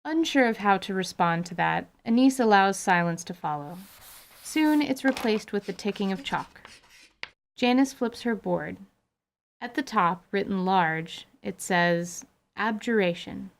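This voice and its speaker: Female narrator, measured, literary, scripted fantasy storytelling. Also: mid-range voice, lively intonation, creaky, a little hiss or hum.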